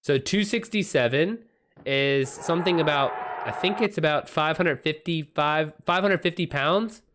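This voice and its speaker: A man's voice: on the high side, unhurried, fairly bright tone, slight background noise. A man chatting casually on a livestream, giving loose, informal running commentary and swearing freely. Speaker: live streamer.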